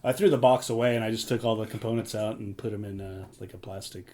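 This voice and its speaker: Casting: man with a lowish voice, informal anecdote teller, recorded with faint noise behind. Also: fairly even intonation, quick pace, darkish tone. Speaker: podcast co-host. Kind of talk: casual anecdote telling.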